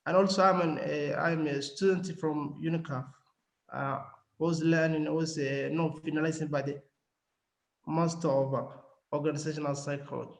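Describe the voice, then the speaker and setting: A man from Somalia, a student speaking haltingly with many restarts during a webinar. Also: on the high side, fairly even intonation, creaky, reverberant, rough recording. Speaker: student participant. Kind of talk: spontaneous remarks in a webinar. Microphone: video call.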